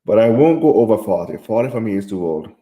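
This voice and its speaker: A man's voice: fairly low pitch, lively intonation, quick pace, mellow, reverberant. A man from Jamaica hosting a live stream, reading viewer comments aloud and answering them in casual chat.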